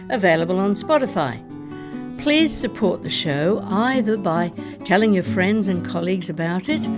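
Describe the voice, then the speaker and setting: A woman podcast host reading scripted credits in a measured, informal way. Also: on the low side.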